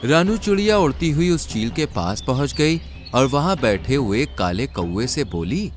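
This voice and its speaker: A man's voice: medium pitch, sing-song, measured pace. A man narrating a scripted children's cartoon story.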